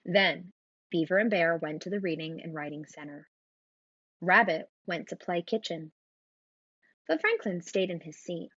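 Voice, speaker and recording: A woman reading a children's storybook aloud in an expressive narrating style. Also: fairly low pitch, unhurried, long pauses, no room sound, lo-fi sound. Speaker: storybook reader.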